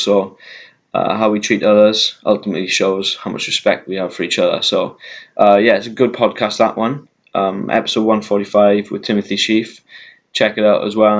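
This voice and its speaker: A man with a Welsh accent talking casually to his YouTube viewers, rambling with lots of ums and uhs and slowing himself down. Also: fairly low pitch, highs cut off. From Wales. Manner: rambling, many ums and uhs, deliberately slowed down. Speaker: vegan YouTuber. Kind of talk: casual talk to camera.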